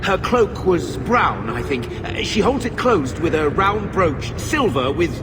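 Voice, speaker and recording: Anxious uncle, reverberant voice. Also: male voice, a little high, very animated, noisy background. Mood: urgent. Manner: urgent, halting questioning. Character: anxious, puzzled older uncle in fantasy game. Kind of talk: video game voice acting.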